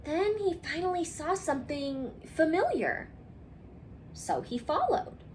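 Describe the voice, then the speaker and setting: Woman, high and very lively voice, expressive children's story reader who leaves dramatic pauses. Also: a little hiss or hum, poor audio. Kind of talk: expressive picture-book read-aloud for children.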